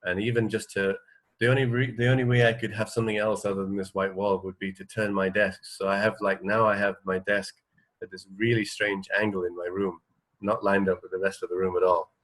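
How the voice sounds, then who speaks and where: Hesitant, low-pitched male radio co-host. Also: flat delivery, brisk, fairly bright tone, low-quality recording. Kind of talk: casual chat.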